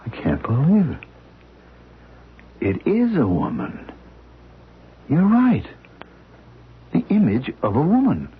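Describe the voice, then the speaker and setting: A man's voice: fairly high pitch, huge pitch swings, takes it slowly, long pauses, husky, full character voice. A skeptical, incredulous radio-drama man. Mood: amazed. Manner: incredulous, jumpy questioning. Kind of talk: 1970s radio drama acting. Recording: lo-fi broadcast sound, phone-like.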